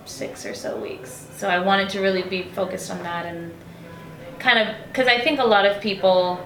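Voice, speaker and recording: A chatty young woman tells her story in a relaxed interview, dropping "like" and quick acted-out quotes along the way. Her voice sits at a middle pitch. The room around her gives a clear echo. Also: soft background music. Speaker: singer being interviewed. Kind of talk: casual interview chat.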